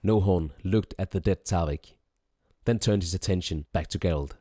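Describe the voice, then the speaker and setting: A man's voice: low voice, normal speed. A man reading scripted fantasy story narration, with music behind him. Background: background music.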